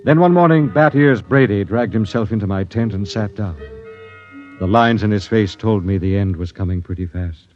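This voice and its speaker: An actor playing a grave, driven expedition captain, dramatic and deliberate, in old-time radio drama style. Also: male voice, lowish voice, darkish tone, lightly acted. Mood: grim, haunted. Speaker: narrator and lead actor. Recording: dull vintage radio recording with music.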